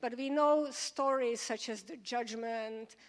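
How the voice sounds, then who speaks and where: A woman's voice: on the high side, steady tone, takes it slowly. A woman scholar asking questions onstage before an audience, hesitant and restarting as she goes. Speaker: scholar moderating an onstage conversation. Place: stage of a large hall before an audience. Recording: reverberant hall sound.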